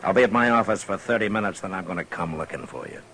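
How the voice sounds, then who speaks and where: An American actor playing a stern, weary frontier marshal in a 1950s radio western, terse, blunt and grave. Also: male voice, low voice, lively intonation, fast talker. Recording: old radio recording with faint noise.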